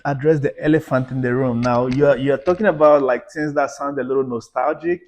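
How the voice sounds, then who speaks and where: A man's voice: medium pitch, steady tone, a little fast, a little hiss or hum. A man co-hosting a podcast, chatty and questioning, telling anecdotes in a casual conversation. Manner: chatty, tells anecdotes, asks pointed questions. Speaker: podcast co-host. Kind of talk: casual chat.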